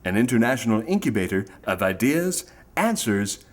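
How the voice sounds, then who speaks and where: Man doing a swooping old-time radio pitchman. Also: very animated, normal speed. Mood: smooth salesmanship. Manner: theatrical, salesmanlike, mock-earnest. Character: slick old-time radio sponsor announcer, grandiose and cheery.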